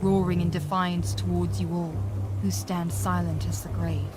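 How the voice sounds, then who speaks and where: A woman's voice: fairly low pitch, little pitch movement, normal speed, music in the background. A woman narrating a scripted fantasy audio adventure in a grave, dramatic storytelling manner.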